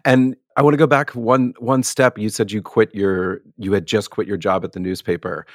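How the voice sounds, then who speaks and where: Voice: medium pitch, steady tone, normal speed, no echo. An interviewer with a mid-range voice, recorded close and dry with no room sound. The questions come out conversational and a little hesitant, with fillers and restarts.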